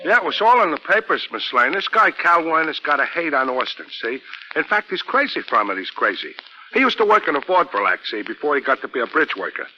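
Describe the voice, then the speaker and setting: Slangy New York cabbie, animated. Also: male voice, a little high, fast talker, faint music behind. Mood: gossipy, indignant. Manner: slangy, stumbling, comic. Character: dim-witted, good-hearted talkative male cab driver. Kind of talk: 1940s radio acting. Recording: muffled, noisy 1940s radio recording.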